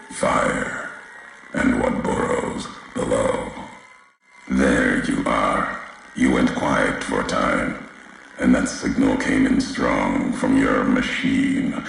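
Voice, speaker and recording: A vengeful, history-haunted man of the wasteland for a video game. His voice is extremely low and he speaks slowly, in cryptic fragments with heavy pauses. Every phrase rings with deep echo. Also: heavily acted. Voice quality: very deep, gravelly. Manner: cryptic, deliberate, clipped phrases with weighty pauses. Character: brooding, vengeful male wasteland wanderer obsessed with history. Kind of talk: slow brooding game dialogue monologue. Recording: heavily echoing voice, faint background noise.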